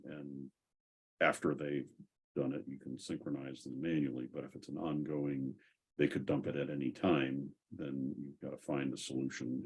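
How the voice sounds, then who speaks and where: Very deep, gravelly man explaining tech. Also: a little mellow. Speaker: host of a software community call. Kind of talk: casual technical discussion. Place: online group call.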